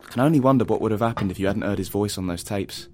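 A man playing a steady, protective resistance fighter in a naturalistic audio drama, understated and earnest. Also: fairly low pitch, very fast, faint music behind, natural voice. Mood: serious.

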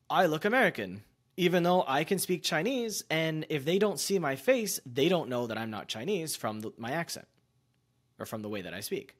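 A man hosting a podcast, musing in a relaxed, conversational way and often using fillers. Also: mid-range voice. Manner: reflective, musing, with loose fillers like "like" and "you know". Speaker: podcast host. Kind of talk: casual conversational chat.

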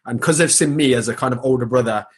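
A man speaks quickly as a podcast guest, at a mid pitch. He sounds reflective and sincere and keeps things conversational. He often uses "like" and "um" as fillers. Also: husky. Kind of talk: casual interview chat.

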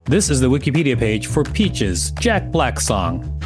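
A man's voice: medium pitch, sing-song, measured pace, music in the background. A man co-hosting a podcast, reading encyclopedia text aloud and adding casual commentary. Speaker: podcast co-host.

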